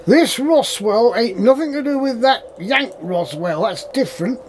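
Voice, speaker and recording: A man's voice: extremely high pitch, lively intonation, measured pace, a little mellow, a little hiss or hum. A man playing a suspicious, chatty, old-fashioned farm manager with a rural English accent and folksy dialect in an audio drama. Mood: warning. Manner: folksy dialect, addresses others as young lad.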